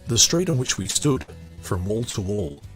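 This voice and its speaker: Male podcast reader-commentator, low register, informal and bookish, light music present. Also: big pitch swings, normal speed. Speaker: podcast host reading a book aloud with commentary. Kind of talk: audiobook narration and casual commentary.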